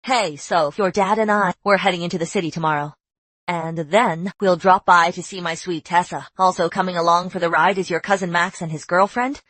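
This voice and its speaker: A woman's voice: fairly low pitch, fairly even intonation, a little fast, fairly bright tone, dry recording. A middle-aged actress playing an emotional mother who scolds indignantly.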